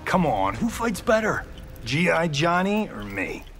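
A man's voice: a little high, very animated, a little slow, music in the background. A man voicing a cocky movie star fighter in a video game, flippant and casual. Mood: flippant bragging.

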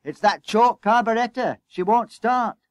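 A man's voice: sits high, a little slow, no room sound, voice pushed up. A man voicing an aggrieved fireman mechanic in a story, grumbling indignantly. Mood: exasperated.

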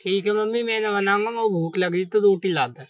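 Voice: mid-range voice, a little fast, no echo, lightly acted. A cheeky, hungry schoolboy son who complains and talks back, as a performer acts him out. The sound is dry and dull.

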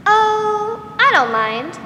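A woman's voice: very high voice, sing-song, very slow, bright, forceful tone, heavily acted. A young woman acting an innocent, curious little girl in a 1940s-style radio drama. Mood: polite, shy. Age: young adult. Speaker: student actor. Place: school theatre stage. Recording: echoey hall from far off, noisy.

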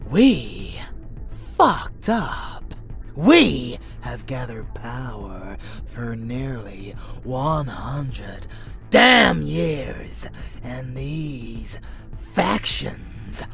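Man acting a slow, sneering vampire henchman. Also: mid-range voice, very animated, gravelly, bright, forceful tone, exaggerated voice. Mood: bitter, contemptuous. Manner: drawling, sneering, sudden swells. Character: rebellious male vampire henchman, sneering, loyal, unhinged. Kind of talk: dark fantasy audio roleplay. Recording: music bed under voice.

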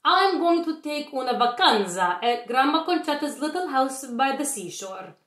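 A woman voicing a kindly but firm old grandmother witch in a picture-book read-aloud, affectionate and gently instructing. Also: sits high, big pitch swings, normal speed, pitched above the performer's own voice. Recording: roomy home webcam recording.

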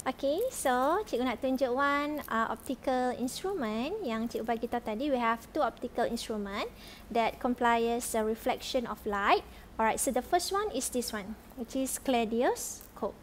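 A woman's voice: on the high side, expressive, moderate pace, slight background noise. A woman in her thirties with a Malaysian accent, teaching a science lesson in a chatty way and often checking in with "alright?". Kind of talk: online classroom lesson mixing Malay and English. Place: school staffroom, indoors.

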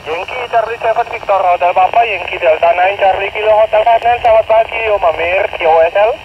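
High-pitched Indonesian man over noisy radio. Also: fairly quick. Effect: two-way radio. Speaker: amateur radio operators on the air. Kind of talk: ham radio contact exchanges. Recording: dull radio receiver audio, very noisy. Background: heavy radio noise.

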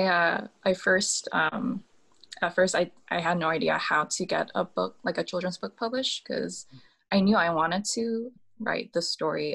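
A woman's voice: on the low side, creaky, a bit bright. A young woman illustrator from Korea, raised in Hong Kong, talking hesitantly with small laughs on a video call from a small room. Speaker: author and illustrator. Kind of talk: casual panel talk. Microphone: video call on laptop.

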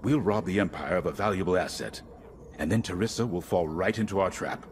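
An actor playing a middle-aged rebel commander in a video game, authoritative, measured and secretive. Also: male voice, sits low, a little hiss or hum, lightly acted. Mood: commanding. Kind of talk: video game briefing dialogue.

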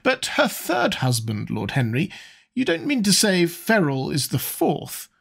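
A man's voice: a little high, wildly swooping pitch, unhurried, creaky, a bit bright, slightly rough, pitched above the performer's own voice, exaggerated voice. A man voicing an elderly aristocratic hostess who exclaims and scolds. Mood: incredulous. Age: older.

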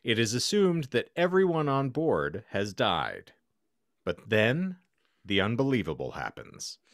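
A man's voice: mid-range voice, very animated, unhurried, no room sound. A man in his thirties hosting a podcast, with a theatrical, wry delivery, recorded close on a microphone in a home room. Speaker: podcast host. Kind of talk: reading a scenario aloud, podcast banter. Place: small home room, indoors.

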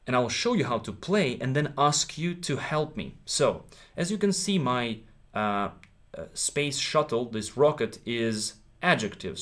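A man in his thirties, a native Ukrainian speaker with a Ukrainian accent, teaching English in a live stream from a small room, encouraging and checking in with his viewers often. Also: medium pitch. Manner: encouraging, repeats himself, checks in with "okay?".

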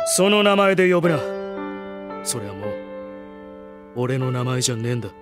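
An actor playing a bitter, contemptuous young swordsman with a curt, rough delivery in a Japanese anime audio drama. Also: medium pitch, big pitch swings, moderate pace, over loud music, natural voice. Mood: scornful, bitter.

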